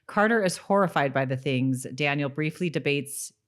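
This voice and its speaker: A woman's voice: sits low, normal speed. A woman co-hosting a podcast, talking casually and informally about a TV show. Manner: informal, conversational. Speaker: podcast co-host. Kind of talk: casual chat about a TV show, with some read-out recaps.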